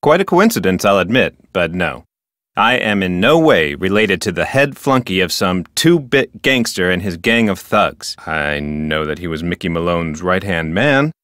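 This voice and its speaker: Pompous park ranger, widely swooping intonation. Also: male voice, low voice, very animated, moderate pace, fairly bright tone, no echo, studio quality. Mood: guarded, indignant. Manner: formal, pompous, self-important. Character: officious, dutiful, touchy park ranger and local history curator. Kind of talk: video game character dialogue.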